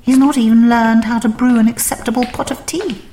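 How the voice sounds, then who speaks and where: A woman's voice: fairly high pitch, steady tone, a little fast, warm tone, reverberant, a little hiss or hum, pitched above the performer's own voice, close to an everyday voice. A woman narrator voicing a posh, haughty woman in a story, with clipped, dryly dismissive speech. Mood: disdainful.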